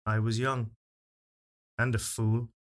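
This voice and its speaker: A man reading a literary fairytale as narrator, in a solemn, measured and expressive storytelling delivery. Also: mid-range voice. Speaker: story reader. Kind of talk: dramatic literary narration of a first-person fairytale.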